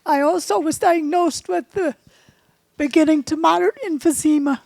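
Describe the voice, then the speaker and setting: A woman's voice: sits high, moderate pace, creaky, darkish tone, no room sound. A woman, a caregiver, introducing herself in an earnest, determined way. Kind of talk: brief self-introduction.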